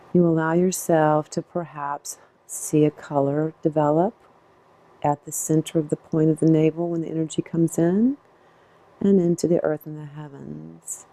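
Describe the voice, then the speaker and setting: Low, mellow-voiced woman guiding meditation. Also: vocal fry. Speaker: guided meditation teacher. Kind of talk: guided meditation instruction.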